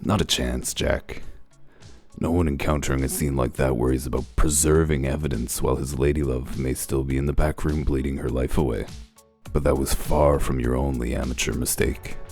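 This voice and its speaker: An extremely low, gruff detective voice, acted by a man, dry and deliberate. He lays out his reasoning with smug method, like a hardboiled comic-book narrator, over background music. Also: level tone, a little mellow, exaggerated voice. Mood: dry, confident. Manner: dry, deliberate, self-assured explaining. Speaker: detective character and first-person narrator. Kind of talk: comic dub acting, detective monologue. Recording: music under the voice.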